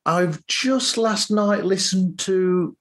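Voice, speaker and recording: A man's voice: sits high, slow pace. A man hosting a podcast, chatting casually as he interviews his guests. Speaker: podcast host.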